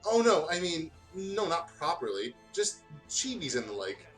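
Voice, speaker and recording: A man's voice: fairly high pitch, sing-song, moderate pace, a bit bright, recorded from a distance, soft background music, voice pushed up. A man reading fan fiction as a shy, flustered teenage girl who speaks hesitantly and stammers. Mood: flustered, awkward.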